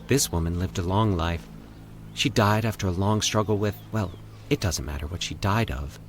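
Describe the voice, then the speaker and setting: A man voicing a calm, eerie woman who communes with the dead, speaking in a soothing, measured monotone for an audio horror story. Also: sits low, a little hiss or hum, lightly acted. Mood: grave, calm.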